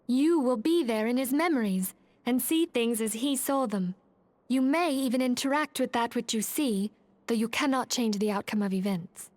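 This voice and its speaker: A woman playing a poised, gracious leader of a secret order in a fantasy game, speaking in calm, formal, measured phrases. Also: fairly high pitch, dry recording, lightly acted. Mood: solemn, explaining. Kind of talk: scripted fantasy game acting.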